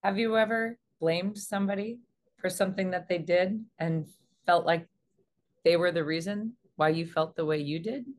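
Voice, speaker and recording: A woman in her forties speaking as a relationship coach, explaining things to camera in an earnest, measured way. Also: fairly low pitch. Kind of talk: explanatory advice talk to camera. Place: small indoor room.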